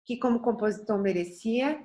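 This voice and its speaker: A soft-voiced woman with a light Bahian accent, middle-aged, speaking a little higher than most women. She talks thoughtfully and earnestly, circling back with small restarts and tag questions as an interview guest. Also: moderate pace. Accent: Bahian Portuguese. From Bahia, Brazil. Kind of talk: reflective interview talk.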